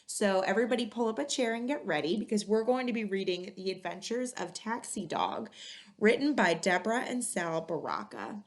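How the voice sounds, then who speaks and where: A woman hosting a children's read-aloud, speaking in clearly phrased storytelling style. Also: medium pitch, expressive, normal speed. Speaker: museum educator and read-aloud host. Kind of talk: reading a children's picture book aloud.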